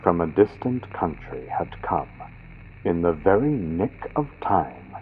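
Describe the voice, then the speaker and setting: A man's voice: sits low, big pitch swings, slow pace, phone-quality sound, slight background noise. A man narrating a gothic story as a dramatic scripted audiobook, with a vintage radio sound from a built-in computer microphone.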